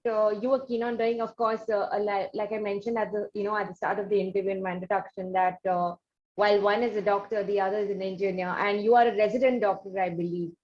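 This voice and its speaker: A woman's voice: medium pitch, darkish tone. A woman with an Indian accent hosting an interview, putting long questions in a hesitant, winding way. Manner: hesitant, long-winded phrasing. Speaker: interviewer, host. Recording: dull lo-fi audio with faint noise.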